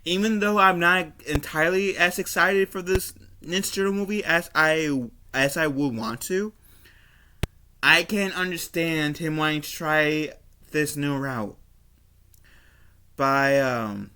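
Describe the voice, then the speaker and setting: A man giving casual opinion commentary as a video host, halting and often repeating and rephrasing himself. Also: on the high side, bright, forceful tone, a little hiss or hum. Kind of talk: casual opinion commentary, reading an article aloud.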